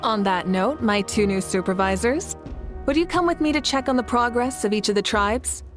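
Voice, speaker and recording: Casting: woman to play assured, kindly war leader for a game, slightly high voice, composed, music behind. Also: expressive, moderate pace, lightly acted. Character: confident, kindly adult female ruler and war leader. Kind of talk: game voice acting.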